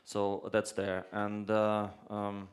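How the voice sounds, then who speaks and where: A man's voice: on the low side, completely flat delivery, slow pace. A man speaking as a government deputy minister on a panel, answering hesitantly with frequent restarts. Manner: hesitant, many restarts and fillers.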